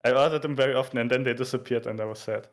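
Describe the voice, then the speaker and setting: A stream guest chatting casually at a middling pitch. The speech rambles and hesitates, full of fillers and soft laughs. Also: steady tone, very fast. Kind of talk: casual chat.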